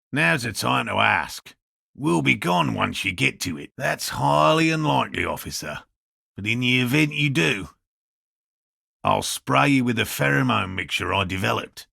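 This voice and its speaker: Gravelly, earnest eccentric cryptozoologist. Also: male voice, medium pitch, bright, forceful tone, no echo, clean studio sound, exaggerated voice. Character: earnest eccentric male cryptozoologist scientist, obsessive but courteous. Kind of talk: video game dialogue voice lines.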